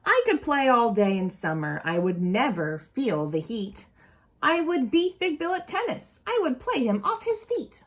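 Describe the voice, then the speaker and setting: A woman reads a picture book to young children in a fairly high voice. Her delivery is slow and deliberate, with big swoops in pitch. She slips in casual asides and questions for the listeners. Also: very animated. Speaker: storyteller reading a children's picture book aloud.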